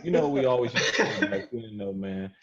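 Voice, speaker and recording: A man's voice: on the low side, expressive, moderate pace, a crowd in the background. American man hosting, slightly muffled audio. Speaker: podcast host moderating the discussion. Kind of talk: casual chat, album discussion.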